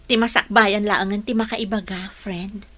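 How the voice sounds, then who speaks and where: A woman's voice: mid-range voice, fairly even intonation, unhurried, a bit bright. A woman playing a devoted, lovesick young bride in an Ilocano radio drama, earnest and emotional, with a Filipino accent. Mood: wistful.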